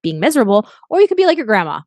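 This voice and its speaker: A woman's voice: medium pitch, big pitch swings, racing, no room sound, clean studio sound. A young adult woman, a life coach as a podcast guest, talking earnestly and reflectively with frequent restarts. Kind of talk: podcast interview.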